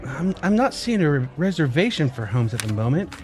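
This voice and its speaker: A man playing an awkward, bored young hotel clerk in an audio drama, halting and stammering but polite. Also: fairly high pitch, sing-song, darkish tone, faint music behind. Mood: flustered, polite. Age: young adult.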